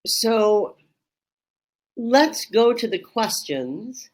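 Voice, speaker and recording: A woman Reiki teacher hosting a webinar, with a strong voice that carries across a room. She talks in a slow, measured way and pauses often with ums. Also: fairly high pitch, very animated, a little mellow, low-quality recording. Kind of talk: reflective webinar talk. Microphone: webinar microphone.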